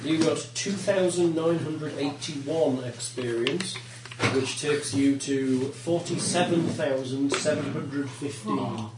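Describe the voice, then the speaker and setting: A husky man with a head cold runs a tabletop game, reading out tallies between jokes. He sits well back from the recorder in an echoing room, with steady noise underneath. His voice sits a little high. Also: slow. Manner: teasing, reads out numbers. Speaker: game master. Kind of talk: casual banter, running a tabletop game. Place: room with friends around a table. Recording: echoey room, distant mic, noisy.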